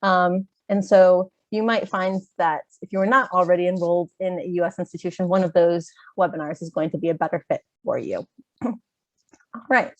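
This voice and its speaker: A woman's voice: fairly low pitch, steady tone, normal speed, dry recording. American woman from chicago with a stuffy nose hosting a webinar, lots of ums, dull dry call audio. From Chicago, USA. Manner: clear, organized, with frequent "um" fillers. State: slightly congested, clearing throat. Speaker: webinar presenter, education advisor. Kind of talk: friendly webinar presentation.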